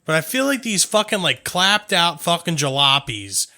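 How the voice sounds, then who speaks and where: A man's voice: fairly high pitch, very animated, moderate pace, pushed and bright. A young man co-hosting a podcast, chatting casually and joking with plenty of swearing. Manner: profane, joking banter. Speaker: podcast co-host. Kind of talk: casual chat.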